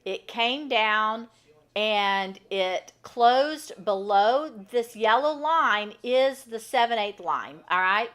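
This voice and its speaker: A woman runs a live online trading lesson, talking through lines on a chart in a loose, chatty way. Her voice sits a little high and sounds bright and forward. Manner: chatty, explains while pointing at a chart. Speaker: trading instructor. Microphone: microphone first left off, then turned on.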